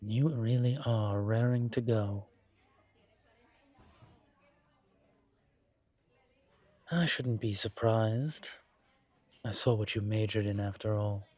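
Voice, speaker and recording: A man playing a suave incubus demon professor in a roleplay audio drama, teasing and protective, with a wry, measured delivery. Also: fairly low pitch, fairly even intonation, a little mellow, dry recording. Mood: wry, mock offended.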